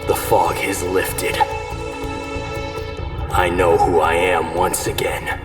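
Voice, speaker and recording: Casting: solemn cyborg warrior, deep slow delivery, full of regret, echoing distantly over music. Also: male voice, monotone. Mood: reflective. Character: haunted, solemn cyborg ninja warrior, remorseful old comrade. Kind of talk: dramatic voice acting.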